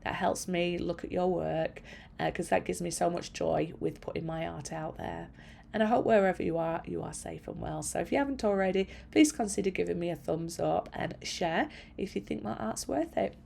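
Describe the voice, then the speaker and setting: Raspy, gravelly woman painting. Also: fairly low pitch, normal speed, a little mellow, a little hiss or hum. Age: middle-aged. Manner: chatty, meandering, self-effacing. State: hoarse from long illness. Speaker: art channel host. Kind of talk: casual painting commentary. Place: at home by a window.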